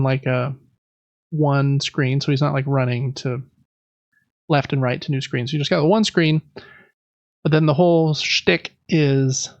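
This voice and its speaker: Gravelly-edged man hosting a casual gaming podcast. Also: a little high, no echo, lo-fi sound. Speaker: podcast host.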